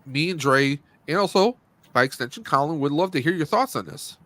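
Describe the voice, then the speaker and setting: A man's voice: a little high, animated, fairly quick, fairly bright tone, no room sound. A man hosting a casual movie review podcast, speaking in a blunt, opinionated and rambling way. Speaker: podcast host.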